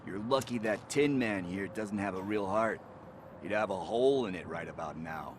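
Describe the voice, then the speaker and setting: A man's voice: fairly low pitch, moderate pace, close to an everyday voice. An actor playing a scolding, streetwise policeman in a video game. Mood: scolding, alarmed. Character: scolding, streetwise adult cop. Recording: loud street and vehicle noise behind.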